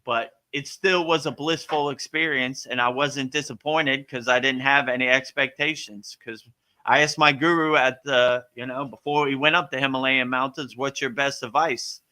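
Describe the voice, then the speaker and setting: Bright, forceful American man, casual spiritual teacher. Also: mid-range voice, fairly even intonation, normal speed. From Miami, Florida, United States. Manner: laid-back, colloquial, anecdotal. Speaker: spiritual teacher answering student questions. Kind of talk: casual spiritual question-and-answer talk.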